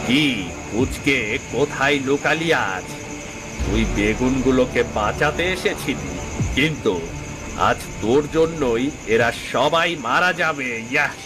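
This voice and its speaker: A man's voice: mid-range voice, lively intonation, takes it slowly, over music, low-quality recording. A man voicing a menacing, gloating villain sorcerer in a cartoon, with taunting, drawling threats. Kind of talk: cartoon voice acting.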